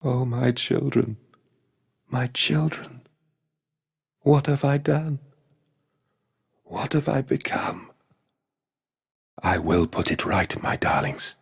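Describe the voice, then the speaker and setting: A tormented father and creator, weighed down by guilt yet grimly resolute. His low voice is very husky and gravelly, delivered mournfully in a long hall reverb, as dark video game drama. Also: heavily acted.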